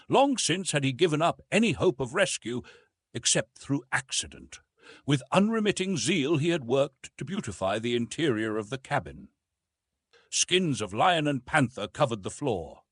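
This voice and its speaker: A man narrates a classic adventure story in a husky voice. His pitch moves widely as he tells it with measured drama. The sound is dry and close, with very little room around it. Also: fairly bright tone, no echo. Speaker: audiobook narrator.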